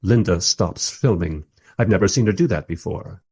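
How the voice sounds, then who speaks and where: A man's voice: sits low, expressive, moderate pace, a little mellow, slightly rough, heavily acted. A man playing a bemused documentary interviewer who narrates a radio play in a dry, reflective, self-deprecating way. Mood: uneasy, bemused. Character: wry, bemused male documentary interviewer narrating his journey.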